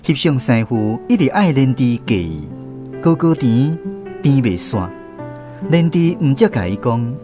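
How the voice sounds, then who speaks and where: A male actor with a Taiwanese accent playing a grown man who recounts his boyhood in an animated radio drama narration. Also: mid-range voice, unhurried. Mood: wry recounting. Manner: animated first-person storytelling. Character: grown man fondly recounting his small-boy adventures. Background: plucked guitar music.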